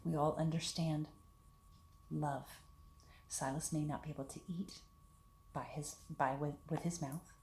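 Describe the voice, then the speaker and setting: A woman leading a children's storytime, talking and reading aloud in simple, deliberate phrases meant for young children. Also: low-pitched, fairly even intonation, a little mellow. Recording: echoey room with background hiss.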